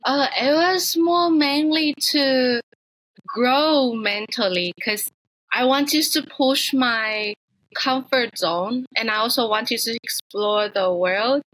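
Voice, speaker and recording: Bright, earnest woman from Taiwan. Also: a little high, slow, no room sound, low-quality recording. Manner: earnest, reflective, searching for words. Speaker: interview guest. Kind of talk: casual conversational interview.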